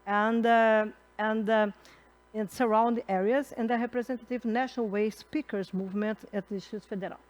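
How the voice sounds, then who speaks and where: A middle-aged woman from Brazil with a Brazilian accent and Portuguese as her first language, moderating a conference panel in halting English full of fillers and restarts. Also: fairly high pitch, fairly even intonation. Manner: halting, many fillers and restarts, laughs at own slips. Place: conference hall.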